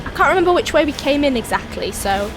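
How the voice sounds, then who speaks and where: Raspy woman with a cold, gameplay host, thinking aloud, slightly high voice, game noise behind. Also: fast talker. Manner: chatty, thinking aloud while playing. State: hoarse with a cold. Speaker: let's play host. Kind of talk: casual gameplay commentary. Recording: voice over steady game engine noise.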